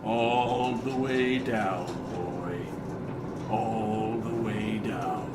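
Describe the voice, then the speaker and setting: A man playing a gruff, scornful veteran coal mine foreman in a comic radio play, with a folksy, menacing delivery. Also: on the low side, drawn-out words. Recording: roomy, noisy mine ambience.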